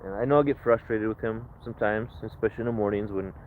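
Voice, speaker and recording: A man's voice: fairly low pitch, fast talker. A man born in Ukraine, now a livestreamer, chatting outdoors in a reflective, rambling way with many restarts. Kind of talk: casual livestream chat. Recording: tinny phone-like mic with faint noise.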